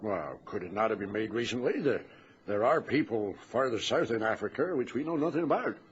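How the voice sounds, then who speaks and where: A man's voice: lowish voice, lively intonation, fairly quick, natural voice. A man plays an old-timer ship's master in a radio drama. He is genial and plain-spoken, asking things with polite curiosity. The recording is dull, with a faint noise bed. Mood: curious. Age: older. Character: old sea captain near retirement, genial, curious, deferential. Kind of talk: period radio drama acting.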